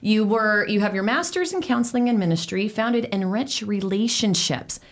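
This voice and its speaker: A woman's voice: mid-range voice, expressive, normal speed. Enthusiastic woman hosting an interview. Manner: enthusiastic, affirming, lots of "I love it". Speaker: podcast host. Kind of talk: casual interview chat.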